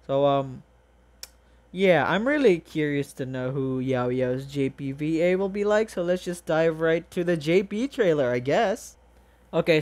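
A woman hosting a reaction video, gushing informally about a game trailer with the odd mild swear. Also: sits low. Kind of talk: excited casual reaction commentary to a game trailer.

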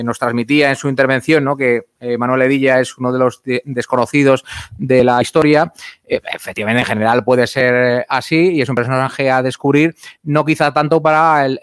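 A man's voice: medium pitch, smooth, clean studio sound. A man commenting from the audience at a book presentation, speaking hesitantly with many fillers into a stand microphone in a library hall. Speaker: guest from the audience.

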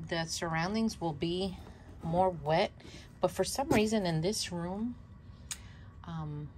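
A woman's voice: fairly low pitch, a little hiss or hum. A woman whose first language is Spanish, hosting a casual worm composting vlog at home, chatty and self-laughing, repeating her words as she shows her bin. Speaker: worm composting channel host. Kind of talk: casual show-and-tell vlog.

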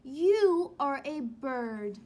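Woman voicing an eager, high-pitched little baby bird. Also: sing-song, darkish tone, full character voice. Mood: sure, proud. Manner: exclaiming, drawn-out words, plaintive calls.

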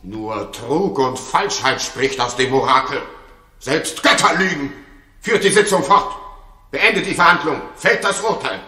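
Forceful, swooping jealous tyrant king. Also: on the high side, expressive, a little slow. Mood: scornful, imperious. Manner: imperious, declamatory. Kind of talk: 1960s German radio drama acting. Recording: echoey, reverberant 1960s radio studio recording.

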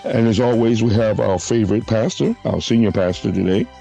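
Hoarse, gravelly low-voiced male host. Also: warm tone, soft background music. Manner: leads prayer and announcements, halting restarts. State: hoarse from a flu. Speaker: podcast host. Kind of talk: casual church podcast chat.

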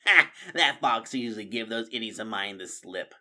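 A man's voice: fairly low pitch, lively intonation, moderate pace, pushed and bright, heavily acted. A man voicing a bullying, scheming rat warlord in a storybook, commanding and threatening. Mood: gloating.

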